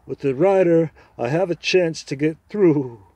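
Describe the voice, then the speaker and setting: A man voicing a proud, scornful male talking horse in a storybook reading, loud and lecturing. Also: on the high side, measured pace, dry recording, pitched above the performer's own voice. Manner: haughty, lecturing, loud and emphatic.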